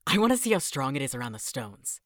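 A woman's voice: very deep voice, big pitch swings, quick pace, dry recording, clean studio sound. A woman playing an earnest, nerdy twelve-year-old boy in an audio drama, eager and matter-of-fact.

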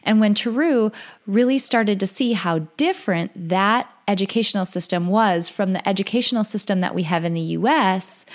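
A woman hosting a podcast, introducing her guest with enthusiastic, emphatic delivery. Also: mid-range voice. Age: adult parent. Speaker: podcast host.

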